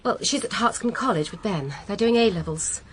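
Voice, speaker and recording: A woman's voice: medium pitch, animated, fairly quick, slight background noise, natural voice. An actress playing a middle-aged, middle-class English mother in a radio drama, earnest, devoted and complaining in a genteel way.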